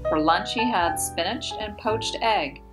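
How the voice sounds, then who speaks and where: A woman reading a children's picture book aloud as a teacher would, with deliberate emphasis on key words. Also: medium pitch, poor audio. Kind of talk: children's storybook read-aloud. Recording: voice over background music. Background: instrumental music.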